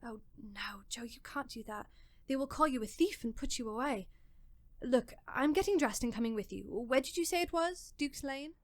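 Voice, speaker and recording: High-voiced anxious young wife, played by an actress. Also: female voice, expressive, normal speed, slight background noise. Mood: worried. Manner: pleading, concerned. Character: anxious, loving young wife of a troubled man. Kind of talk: radio drama acting.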